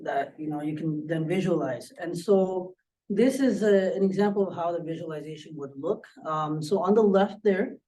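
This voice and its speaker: Higher-voiced man, soft-toned, off the mic. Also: normal speed. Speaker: student presenter. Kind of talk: informal technical presentation. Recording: distant microphone pickup.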